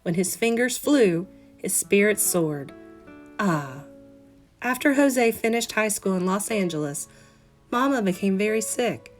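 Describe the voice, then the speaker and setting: A woman reads a children's story aloud like a classroom teacher. Her voice sits at a medium pitch, with expressive emphasis and careful phrasing. Soft plucked guitar plays behind her. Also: unhurried. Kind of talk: expressive read-aloud of a children's picture book. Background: plucked guitar music.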